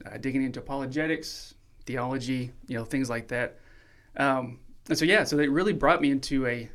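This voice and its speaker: A man who grew up in Mexico, a podcast guest calmly telling his faith story in a relaxed, reflective way. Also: medium pitch, fairly quick. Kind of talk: casual testimony sharing.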